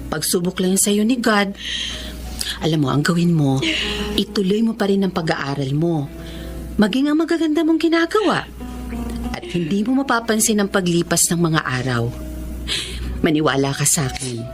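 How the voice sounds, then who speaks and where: Lively, caring Filipino woman teacher. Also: lowish voice, normal speed, long pauses, music in the background. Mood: reassuring. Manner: motherly, reassuring. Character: caring, encouraging woman teacher of blind students. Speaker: radio drama actress. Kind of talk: radio drama acting.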